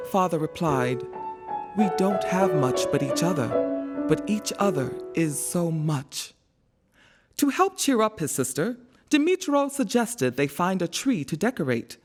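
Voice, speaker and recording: Female storybook narrator, animated intonation, measured scripted delivery, soft music underneath. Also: lowish voice.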